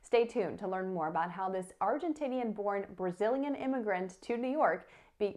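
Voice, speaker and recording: A woman with a mid-range voice hosts an interview. She reads a short intro and then asks clear, direct questions. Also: mid-range voice, a little mellow.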